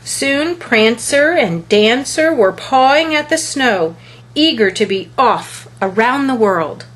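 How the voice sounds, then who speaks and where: A woman reading a children's picture book aloud as a storyteller, with deliberate, expressive phrasing. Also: a little high.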